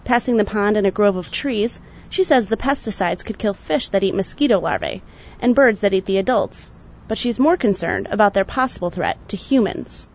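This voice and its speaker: Woman reading a measured radio news report. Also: medium pitch. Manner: measured, even reporting delivery. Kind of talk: scripted news narration.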